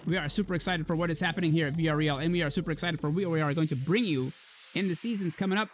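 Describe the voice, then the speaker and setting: Hoarse chatty male esports caster. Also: fairly high pitch, very fast, soft background music. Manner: casual, chatty, addresses chat. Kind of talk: livestream caster filling time.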